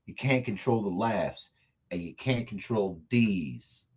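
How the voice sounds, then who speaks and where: A man acting a cocky, vengeful action hero in a comedy table read, with a husky voice and defiant speechifying. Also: lowish voice, lively intonation, slow, exaggerated voice. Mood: righteous anger.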